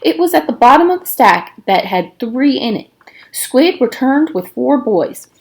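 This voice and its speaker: A woman's voice: high voice, very animated, measured pace, darkish tone. A woman narrating a storybook aloud, acting out the dialogue with emphasis. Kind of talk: expressive storybook read-aloud.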